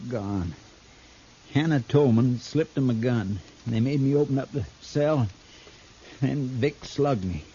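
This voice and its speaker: An actor playing an earnest, deferential frontier deputy, folksy and a little anxious, in 1950s radio drama style. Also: male voice, mid-range voice, slow pace, warm tone. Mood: contrite, hurt. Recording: scratchy, muffled 1950s radio recording.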